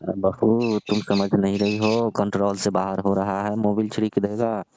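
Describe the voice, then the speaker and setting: A man's voice: on the low side, level tone, a little slow, warm tone, a little hiss or hum, lightly acted. A man playing a lecherous, pleading older father-in-law in a comedic phone-call skit, coaxing and insistent. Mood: insistent, amorous. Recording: dull lo-fi phone-call style audio.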